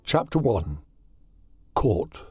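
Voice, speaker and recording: A man narrating a horror audiobook in a deliberate, dramatic storytelling manner. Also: fairly low pitch, very animated, dark tone, husky.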